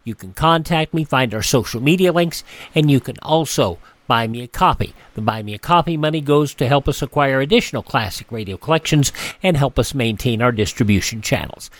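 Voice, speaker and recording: A man's voice: mid-range voice, lively intonation, a little fast, no echo. An American man hosting a classic radio program, delivering a scripted sign-off. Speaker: classic radio show host. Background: music.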